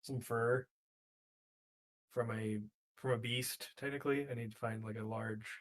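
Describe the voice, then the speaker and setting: A man's voice: mid-range voice, steady tone, unhurried. A man in casual role-playing game chat, explaining things in a hesitant, conversational way as a player.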